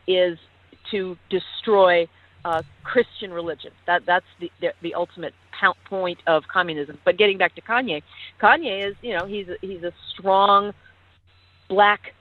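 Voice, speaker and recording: A woman's voice: fairly low pitch, fairly even intonation, normal speed, no echo. A woman guest talking on the phone, emphatic and opinionated, restarting often. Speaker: guest, actress and activist. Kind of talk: phone-in interview. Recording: tinny phone line.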